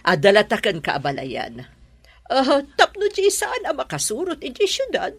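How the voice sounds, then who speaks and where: A woman with an Ilocano accent acting as a gracious, well-off middle-aged mother in a radio drama, her voice husky. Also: on the high side, huge pitch swings, moderate pace, exaggerated voice. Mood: friendly.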